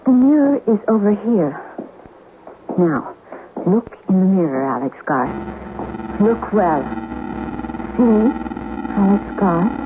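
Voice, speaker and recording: An actress playing the ghost of a sea captain's wife in a radio drama, speaking formally and gravely with ominous warnings. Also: female voice, mid-range voice, expressive, slow, long pauses. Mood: solemn, commanding. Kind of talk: 1970s radio drama acting. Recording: thin phone-like old radio recording, hiss.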